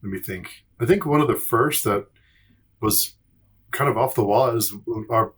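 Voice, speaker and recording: A man in a casual podcast chat, speaking haltingly and repeating words as he thinks. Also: mid-range voice, fairly bright tone, husky. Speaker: podcast panellist.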